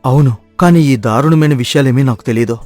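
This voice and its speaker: A man's voice: mid-range voice, a little fast, a little mellow, dry recording, soft background music, lightly acted. Man playing a sincere, repentant thief. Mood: stunned. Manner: earnest, remorseful. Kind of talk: dramatized moral storytelling.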